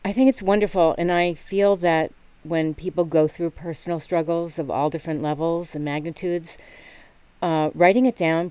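A woman's voice: low-pitched, warm tone, clear, resonant tone, no echo. A woman hosting a radio show, interviewing a guest in an encouraging way.

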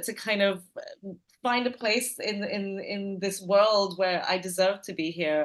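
Guest director, woman from Malaysia, animated, halting restarts, adult. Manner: animated, laughing, hesitant restarts, lively pitch jumps. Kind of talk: interview answers.

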